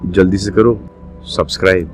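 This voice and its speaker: A man's voice: low-pitched, fairly even intonation, moderate pace, faint music behind. A man making a casual, urging promotional call to subscribe.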